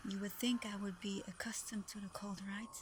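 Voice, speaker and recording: A woman playing Santa's gentle, shy adopted daughter in an intimate ASMR roleplay, soft-spoken, tender and a little hesitant. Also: mid-range voice, steady tone, normal speed, natural voice. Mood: soft, teasing. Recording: clearly noisy background ambience.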